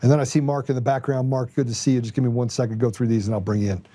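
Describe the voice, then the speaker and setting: A man's voice: mid-range voice, flat delivery, very fast, mellow. A man hosting a political talk show, giving opinionated commentary in an emphatic way and repeating phrases for effect.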